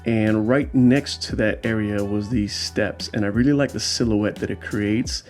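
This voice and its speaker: A man's voice: lowish voice, fairly even intonation, a little slow, soft background music. A man hosting his own vlog, talking through his street photos in a casual, explanatory voiceover with frequent fillers. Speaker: street photographer and channel host.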